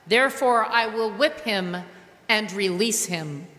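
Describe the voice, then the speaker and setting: A woman reading the part of a Roman governor who is reluctant to condemn, in a measured, earnest voice during a liturgical reading. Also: medium pitch, animated, a bit bright. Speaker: liturgy reader. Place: large reverberant church. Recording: long church reverb.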